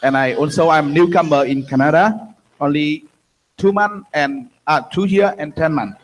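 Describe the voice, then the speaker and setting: A man with a Vietnamese accent and Vietnamese as his first language, giving a halting testimony in simple, broken English at a conference. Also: a little high, poor audio. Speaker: guest speaker giving testimony. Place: conference room.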